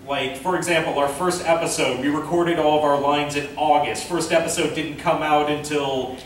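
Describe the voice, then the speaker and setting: Higher-voiced man in a very echoey room. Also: steady tone, normal speed. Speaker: panel presenter, amateur director and voice actor. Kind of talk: informal convention panel talk. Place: convention panel room. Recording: echoey room from far off, faint noise.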